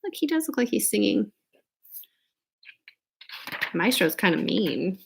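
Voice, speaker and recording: A woman's voice: mid-range voice, sing-song, slow, gravelly, background noise. A woman reading a picture book aloud expressively, with chatty, casual asides to her listeners. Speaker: read-aloud storyteller.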